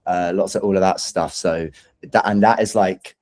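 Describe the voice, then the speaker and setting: Young London male musician, low and dark-toned, informal, chatty interview delivery. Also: fairly even intonation, normal speed. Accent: London English. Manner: enthusiastic, rambling, informal. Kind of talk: casual interview chat.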